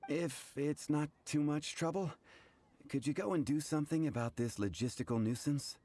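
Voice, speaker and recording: A man's voice: a little high, normal speed, mellow, lightly acted. An actor playing a polite, harried male tavern keeper in an anime-style video game, with a businesslike manner. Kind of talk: English anime game voice acting.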